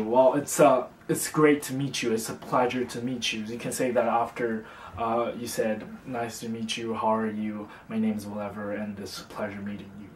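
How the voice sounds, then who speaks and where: A young man from China, teaching a casual beginner language lesson in a relaxed, chatty way, with fillers and slow, careful repetition of example words. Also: medium pitch, a little hiss or hum. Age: young adult. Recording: roomy, distant mic.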